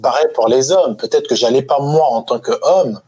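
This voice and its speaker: A man's voice: mid-range voice, normal speed, a little mellow, husky. A man in his thirties in a panel discussion, reflecting on his own experience. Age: 30s. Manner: reflective, personal storytelling. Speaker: panel guest. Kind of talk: casual debate.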